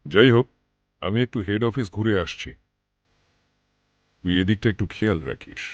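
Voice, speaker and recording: Very deep, sinister boss played by a man. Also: animated, moderate pace, dry recording, natural voice. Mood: sinister caution. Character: shady, cautious village boss, commanding and sinister. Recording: dull, dry studio sound.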